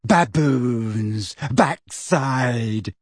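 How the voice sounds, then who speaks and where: A dreamy, odd young witch girl from a storybook, acted by a male narrator. The voice drifts along low and vague, in a slow, thoughtful way that states blunt things plainly. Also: lively intonation, husky, no echo, clean studio sound, heavily acted. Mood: helpless mirth.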